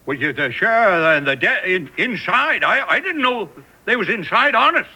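A shifty Old West swindler for radio. His voice is high and husky, swinging widely in pitch. He blusters and stammers through his protests. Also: very animated, moderate pace, pushed and bright, full character voice. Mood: nervous protesting. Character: shifty, blustering swindler and mine company president. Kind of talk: old-time Western radio drama acting.

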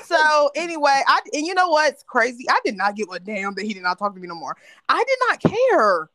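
A woman's voice: high voice, sing-song, a little fast, bright, forceful tone, no room sound. A woman from North Carolina telling a candid story in a casual chat with friends, laughing often. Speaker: podcast host.

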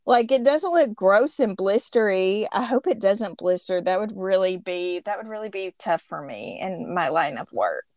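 A woman's voice: medium pitch, animated, moderate pace, hardly any pauses, a little mellow, no echo, clean studio sound. A woman in her thirties hosting a podcast, telling a rambling, chatty story. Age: 30s. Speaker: podcast host. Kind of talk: casual chat.

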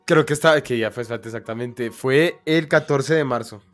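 A man's voice: medium pitch, moderate pace, faint music behind. A man appearing as a guest on a podcast, joking along and laughing easily. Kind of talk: casual podcast banter.

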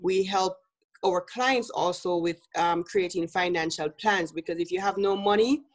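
A woman's voice: fairly low pitch, normal speed. Jamaican woman business coach talking. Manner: earnest, laughs easily, many fillers. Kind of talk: interview guest.

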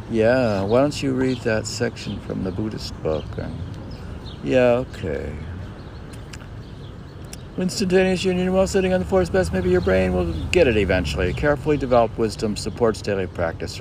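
A man reading his own poems with casual, rambling commentary, halting and musing as he puts questions to himself. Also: sits low, gravelly. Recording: noisy outdoor recording with birds. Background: birdsong, ambient noise.

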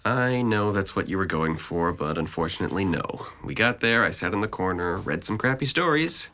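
A man's voice: deep voice, expressive, normal speed, sharp tone, exaggerated voice. A man playing a depressed, sardonic twenty-one-year-old in an audio drama, with a dry, articulate delivery. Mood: sardonic, bitter. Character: sardonic, depressed young man, resentful and withdrawn.

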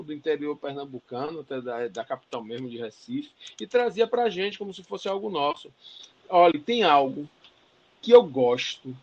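A man's voice: fairly high pitch, slight background noise. A man with a Bahian Brazilian accent answers interview questions with fervor, his voice rising on the points he stresses. He keeps tagging his sentences with little questions and stumbles into restarts as his thoughts run ahead. The audio sounds a touch muffled. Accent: Bahian Brazilian Portuguese. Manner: passionate, emphatic, frequent "né" tags and restarts. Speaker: interview guest. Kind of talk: conversational interview.

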